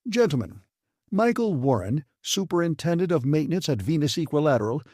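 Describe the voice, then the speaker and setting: A man's voice: on the high side, sing-song, fairly quick, a little mellow, no room sound, studio quality, pitched above the performer's own voice. A man voicing a blustering, self-important male station director in an audiobook, with a pompous, badgering, officious manner. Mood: officious, offended. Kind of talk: dramatised audiobook reading.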